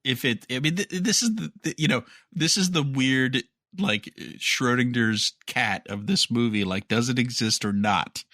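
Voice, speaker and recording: A man's voice: mid-range voice, a little slow, hardly any pauses, sharp tone, dry recording, clean studio sound. A man co-hosting a podcast, casually talking through news with a wry, skeptical manner. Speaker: podcast co-host.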